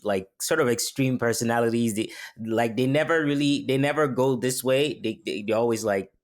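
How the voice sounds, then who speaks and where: A man gives casual interview answers as a voice actor. He is informal and keen, repeating phrases for emphasis, and his voice sits at an ordinary middle pitch. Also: moderate pace. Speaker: voice actor guest.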